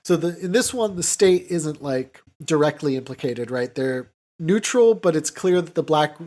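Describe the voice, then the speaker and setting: A man co-hosting a podcast, casually recapping a film in a halting way full of filler words. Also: fairly high pitch, expressive, darkish tone. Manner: halting, filler-heavy with "like" and "you know". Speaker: podcast co-host.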